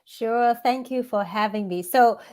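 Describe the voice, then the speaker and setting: A woman's voice: a little high, a little slow, a little mellow. Reflective woman. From Hong Kong. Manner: thoughtful, builds points in lists, frequent restarts. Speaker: guest, women's coach and author. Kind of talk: reflective interview answers.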